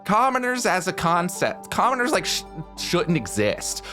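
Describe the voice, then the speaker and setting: A man's voice: high-pitched, huge pitch swings, a little slow, bright, forceful tone, soft background music. A man arguing casually but passionately, emphatic and stumbling, repeating himself as he goes. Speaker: podcast panelist. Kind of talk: impassioned casual debate.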